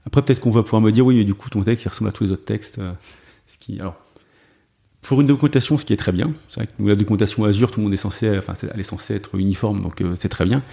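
Guest dev, male, low mellow voice with fry, hesitant delivery full of fillers. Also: racing. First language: French. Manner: hesitant, many fillers and restarts. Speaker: guest, software developer. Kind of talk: casual conversational interview.

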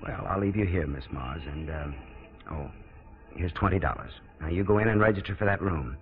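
Wry, commanding theatre director, swooping delivery. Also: male voice, low voice, animated, a little fast. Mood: gruffly kind. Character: brisk, exasperated but kindly male theatre director. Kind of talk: 1940s radio acting. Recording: muffled 1940s radio broadcast.

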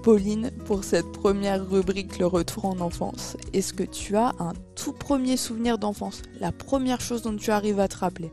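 A woman co-hosting a podcast, asking friendly, measured questions. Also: mid-range voice, music in the background. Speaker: podcast co-host. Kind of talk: casual interview chat.

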